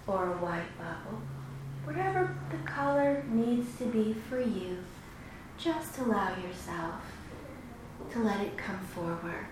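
A woman leads a guided meditation, giving gentle instructions with long pauses between phrases. Her mid-pitched voice rings in a very reverberant room. There is clear noise behind her. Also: animated. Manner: slow, soothing, instructive delivery with long pauses. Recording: very echoey room, clearly noisy background.

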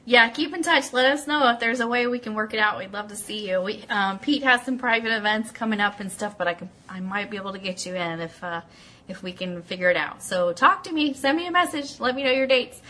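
A woman's voice: mid-range voice, a little fast, sharp tone. A woman hosting a live online radio show, chatty and casual as she speaks directly to her audience. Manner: chatty, addresses viewers directly by name. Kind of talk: casual livestream chat, greeting viewers and reading an article aloud.

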